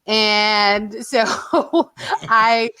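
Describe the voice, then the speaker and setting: A woman's voice: a little high, steady tone, extremely slow, sharp tone, clear, resonant tone. A woman co-hosting a podcast in casual, irreverent banter, giggly and a little tipsy, speaking into a headset microphone. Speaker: podcast co-host.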